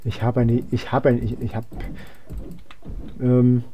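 A man's voice: mid-range voice, monotone, slow, lo-fi sound, slight background noise. A young man giving casual gameplay commentary, rambling and thinking aloud as he plays. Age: young adult. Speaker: let's player.

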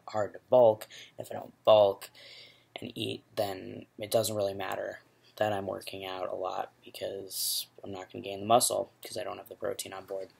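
A young man talking casually to his laptop webcam in a small room, candid and rambling, with a rumbly voice. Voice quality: rumbly, gravelly. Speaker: vlogger. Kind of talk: casual video diary. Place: small bedroom indoors.

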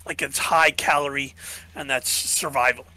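A man's voice: medium pitch, fairly even intonation, normal speed, sharp tone, poor audio. A man co-hosting a podcast, musing through philosophy in casual chat, checking in with "right?" and losing his voice. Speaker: podcast co-host.

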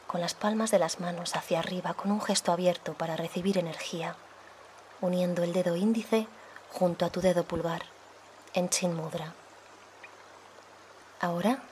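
A woman leading a guided meditation as a yoga guide, speaking softly and soothingly, unhurried, with pauses between phrases. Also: lowish voice, slight background noise. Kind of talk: guided meditation, slow calm instruction.

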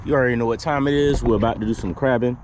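A man's voice: medium pitch, steady tone, very fast, noisy background. A middle-aged man chatting casually and folksily to his viewers as he vlogs outdoors on a camera. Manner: folksy, informal, repeats "y'all". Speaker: fishing vlogger. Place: outdoors on a wooden waterside boardwalk. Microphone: action camera, at arm's length or nearby.

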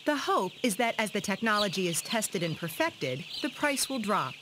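Lively woman narrating a news report. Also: medium pitch, normal speed. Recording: clear background farm noise.

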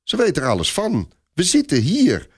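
A man's voice: fairly high pitch, huge pitch swings, moderate pace, husky, pitched above the performer's own voice, heavily acted. A man voicing a stubborn, eager young girl who explains things in a cocksure, instructive way in a storybook reading. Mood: insistent.

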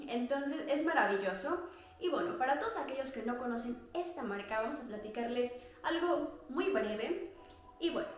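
A young woman with a Mexican Spanish accent, chatting casually and gesturing as she reviews activewear for her vlog. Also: on the high side. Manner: bubbly, chatty, gestures a lot, laughs often. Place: small indoor room at home. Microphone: clip-on lapel mic. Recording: very echoey small room.

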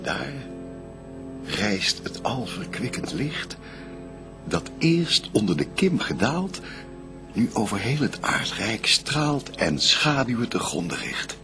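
Devout first man and adoring bridegroom, low gravelly voice, lyrical sweeping declamation, solemn verse radio play. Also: big pitch swings, slow, music in the background. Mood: reverent.

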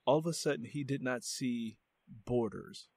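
Man, podcast host, earnest, repeats for emphasis, mid pitch, dry sound. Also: moderate pace, a little mellow, breathy edge, dry recording. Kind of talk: casual interview conversation.

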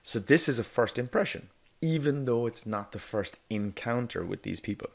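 A man teaching a negotiation course in a chatty, loose way, checking in with "okay?" and filling pauses with "um". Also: medium pitch, expressive, vocal fry. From Ireland. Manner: chatty, frequent "okay?" and "um" fillers, laughs lightly mid-sentence. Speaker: negotiation coach. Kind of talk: casual spoken course narration. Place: voice-over for an animated whiteboard video.

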